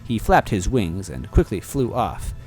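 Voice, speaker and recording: A man narrating a fan-fiction story aloud in a playful, animated way. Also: fairly low pitch, measured pace, soft background music, low-quality recording.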